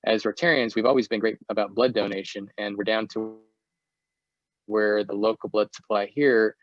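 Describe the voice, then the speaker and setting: A middle-aged American man giving a slide presentation over a video call, speaking in a halting, hesitant way. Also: fairly low pitch, little pitch movement. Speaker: guest speaker, volunteer presenter. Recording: muffled, dull video-call audio.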